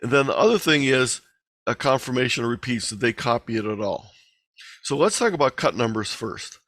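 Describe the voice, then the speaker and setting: A man presents an instructional online talk in a medium-pitched voice. He explains things plainly, one step after another, as if guiding learners through slides. The video-call sound is dry, with very little room around the voice. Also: animated, fairly quick, a bit bright, dry recording. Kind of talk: explanatory slide lecture, lightly extemporized. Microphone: video call microphone.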